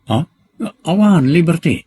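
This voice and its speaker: A man's voice: a little high, expressive, a little slow, warm tone, slightly rough, dry recording. A man playing an evasive young bridegroom-to-be in an audio drama. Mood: evasive, reluctant. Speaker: drama actor. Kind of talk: Ilocano audio drama acting.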